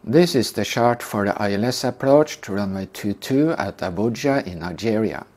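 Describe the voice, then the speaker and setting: An older man calmly teaching a scripted lesson on flying approaches. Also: fairly low pitch. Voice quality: calm, measured explanation. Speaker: flight instructor. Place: indoor room.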